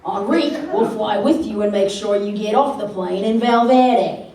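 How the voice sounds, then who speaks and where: A woman's voice: medium pitch, moderate pace, dark tone, close to an everyday voice. A woman playing a gloating, sinister male mercenary captain in a live radio play, cold and taunting. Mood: commanding menace. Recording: very echoey, distant, noisy live room.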